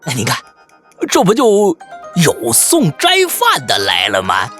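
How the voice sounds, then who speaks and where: Bumbling pig monk, acted by a narrator, greedy, eager, stammering, swooping pitch, faint music underneath. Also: huge pitch swings, measured pace, a bit bright. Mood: gleeful. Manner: stammering, hearty calling out.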